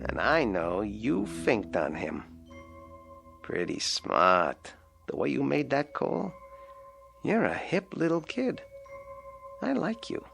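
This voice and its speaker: A sly, gravelly wooden dummy. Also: male voice, medium pitch, wildly swooping pitch, slow, pauses often, fairly bright tone, faint music behind, heavily acted. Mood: conspiratorial coaxing. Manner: slangy, coaxing, conspiratorial. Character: sly, wisecracking wooden ventriloquist's dummy, small-time crook. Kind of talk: radio drama acting.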